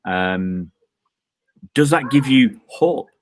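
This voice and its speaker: A man's voice: medium pitch, lively intonation, very slow, dry recording. A man hosting a casual online chat, talking in a rambling, joking way with many fillers from an outdoor balcony. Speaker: host.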